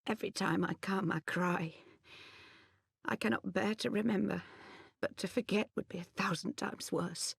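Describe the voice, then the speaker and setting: An actress playing a bitter, grieving mother of a slain soldier, speaking in a halting, sorrowful way in a video game drama. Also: medium pitch, fairly even intonation, gravelly, breathy edge, no echo, studio quality, exaggerated voice. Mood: anguished.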